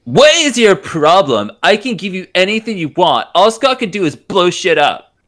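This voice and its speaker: A man with a bright, swooping voice in the middle range takes part in a casual amateur script reading. He talks in animated, exasperated asides from a room at home. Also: high-pitched, moderate pace. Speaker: table-read participant and commentator. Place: indoor room at home, on a group video call.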